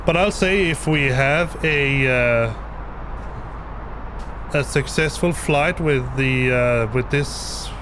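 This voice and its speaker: Homem, língua nativa dinamarquês, hesitante, fala de altura média, gravação com ruído de fundo. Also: male voice, medium pitch, slow, noisy background. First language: Danish. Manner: hesitant, many restarts and repeated words. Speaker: flight sim streamer. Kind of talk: casual live-stream explanation. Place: home basement.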